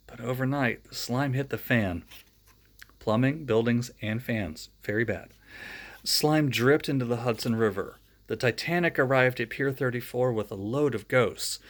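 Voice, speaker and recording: A man reading a children's storybook aloud, breaking off for friendly, joking asides to young listeners. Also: medium pitch. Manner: friendly, chatty asides between read passages.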